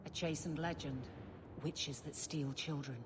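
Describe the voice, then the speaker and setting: An actress playing a stern, fiercely loyal warrior wife in a video game, forceful and blunt. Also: female voice, deep voice, fairly even intonation, unhurried, noisy background. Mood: suspicious.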